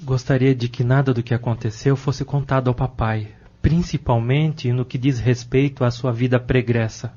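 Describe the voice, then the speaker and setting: Guy doing soft-hearted young brazilian man in a radio novel, mellow mid voice, careful and earnest. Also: medium pitch, fairly even intonation, moderate pace, few pauses, close to an everyday voice. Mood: concerned. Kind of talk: audio drama acting. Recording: dull lo-fi audio with music behind.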